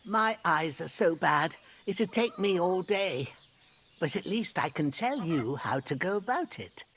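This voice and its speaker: A woman's voice: fairly low pitch, animated, unhurried, slight background noise. An actress playing an older village herbalist mother in a game, chatty and reassuring in rustic speech. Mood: brisk, practical.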